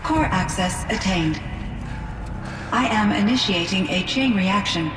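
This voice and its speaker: A woman's voice: mid-range voice, expressive, close to an everyday voice. Echoing, composed female AI played by a woman. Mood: composed, urgent. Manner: measured, precise, formal announcements. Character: benevolent captive female artificial intelligence, composed and formal. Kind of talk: video game cutscene acting. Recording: echoey, distant, game music behind.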